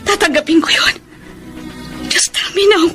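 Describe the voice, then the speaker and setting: A woman's voice: very high-pitched, sharp tone, faint music behind. A woman with a Filipino accent playing a weary, long-suffering middle-aged wife in a radio drama, bitter and emotional, drawing out her words. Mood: wounded demanding. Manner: tearful, drawn-out emotional delivery. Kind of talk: Tagalog radio drama acting with English mixed in.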